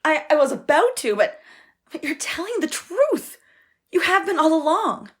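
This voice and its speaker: A woman's voice: extremely high pitch, big pitch swings, normal speed, fairly bright tone, full character voice. An actress playing a proper, skeptical young woman caught up in an audio-play adventure. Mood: earnest. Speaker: actor.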